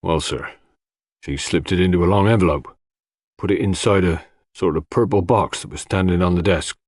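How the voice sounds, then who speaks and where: Man playing older male gardener, low pitch, mumbled delivery, hesitant, humble, rustic, audiobook character. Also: moderate pace, darkish tone, no echo, studio quality. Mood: cautious, deferential. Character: slow, cautious, deferential older male gardener with bent back.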